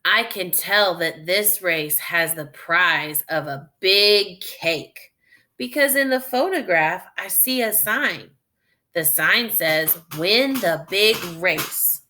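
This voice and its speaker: A woman reading a children's story aloud like a teacher, thinking out loud and guiding young listeners in a deliberate, instructional way. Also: lowish voice, animated.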